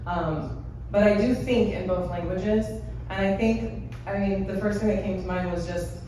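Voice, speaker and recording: A woman raised in America who talks on a panel in a lively, jokey way. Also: mid-range voice, moderate pace, a little hiss or hum. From United States. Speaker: actor on a panel. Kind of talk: casual panel talk. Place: theater stage. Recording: echoey hall, distant mic, crowd.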